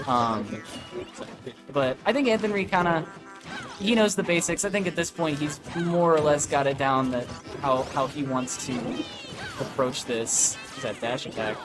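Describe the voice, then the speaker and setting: Male esports caster, higher-than-average voice, casual and excitable play-by-play, prominent music behind. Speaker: esports commentator. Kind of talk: casual live play-by-play commentary.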